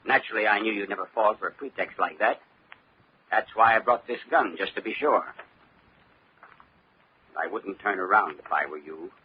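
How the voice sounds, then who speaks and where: A man's voice: fairly low pitch, lightly acted. A dull, noisy vintage radio recording of a villainous killer, acted by a man. He speaks with theatrical menace and mock courtesy, like a cold murderer in a crime serial. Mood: smug menace. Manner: mock-polite, menacing, drawn-out. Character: smug, coldly polite killer turning to murderous rage. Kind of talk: old-time radio crime drama acting.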